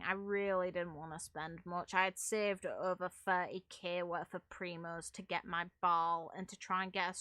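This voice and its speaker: A woman's voice: mid-range voice, pushed and bright. A woman doing casual, rambling gaming commentary in a frank, casually sweary way, like a streamer talking to viewers. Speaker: game streamer.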